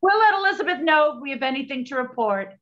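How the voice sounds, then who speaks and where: A slightly muffled recording of a brisk 1920s lady detective played by a woman. Her voice sits on the high side, businesslike and peppered with period slang. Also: very animated. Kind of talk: 1920s period audio drama acting.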